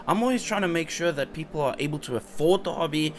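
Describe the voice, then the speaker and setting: A man in his thirties narrating a vlog in a relaxed, rambling, easygoing way. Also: fairly high pitch, fast talker, a little hiss or hum. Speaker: vlogger and hobby shop owner. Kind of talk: casual vlog voice-over. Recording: voice-over with music underneath. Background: background music.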